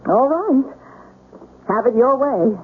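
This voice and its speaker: Challenging wife, high-pitched, very animated, dismissive, radio play. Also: female voice, slow pace. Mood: amused, dismissive. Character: sharp-tongued, exasperated young-to-middle-aged wife. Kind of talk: 1970s radio drama acting. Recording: tinny, noisy old radio broadcast.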